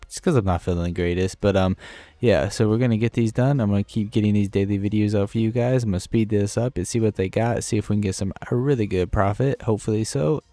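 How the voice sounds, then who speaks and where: A young man with a hoarse, dry throat, giving rambling, casual commentary over his gameplay. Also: on the low side, quick pace, warm tone, dry recording. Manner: rambling, loose, filler-heavy. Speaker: gaming YouTuber.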